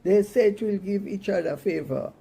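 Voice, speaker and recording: A woman's voice: medium pitch, normal speed, gravelly, warm tone, slightly rough, voice pushed up. A woman voicing cheeky young boys in a storybook, answering back bluntly in Caribbean dialect. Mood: sheepish. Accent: Caribbean, Dominica.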